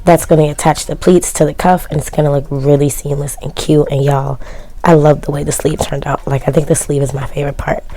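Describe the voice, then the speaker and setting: A woman narrating her sewing project in a casual, chatty voice-over, her voice worn and cracking from yelling, with self-mocking, shrugging asides. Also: deep voice, level tone, a little fast. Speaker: sewing vlogger.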